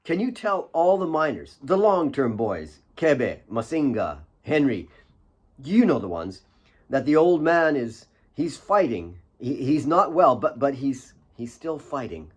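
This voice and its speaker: A man's voice: mid-range voice, sing-song, measured pace, breathy edge. A man voicing a commanding, earnest young leader of mine workers, firm and direct, in an audiobook.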